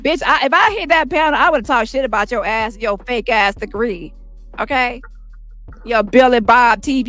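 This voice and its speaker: Profanity-laced ranting woman, squeaky and high. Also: fairly bright tone. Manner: profane, insulting, fast roasting rants. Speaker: commentary streamer. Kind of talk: ranting commentary.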